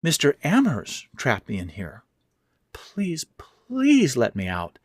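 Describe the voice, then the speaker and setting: A man's voice: wildly swooping pitch, takes it slowly, no room sound, clean studio sound, heavily acted. A man voicing a monstrous spider-woman who poses as a sweet, coaxing captive with genteel speech, in a horror audio story. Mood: pleading. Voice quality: high, sweet. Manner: supplicating, coaxing, genteel old-fashioned speech. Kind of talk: horror audio fiction reading.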